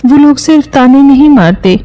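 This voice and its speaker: Woman playing a timid young bride, high-voiced. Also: lively intonation, normal speed, warm tone, soft background music. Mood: earnest, subdued. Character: timid young new bride, anxious and dutiful. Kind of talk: Hindi moral story cartoon dialogue.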